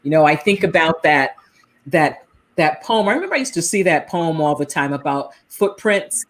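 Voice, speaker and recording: A woman's voice: low voice, lively intonation, normal speed. A woman host with a New England accent chatting on a livestream and greeting viewers. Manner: warm chatty host reading viewer comments. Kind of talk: casual livestream talk show.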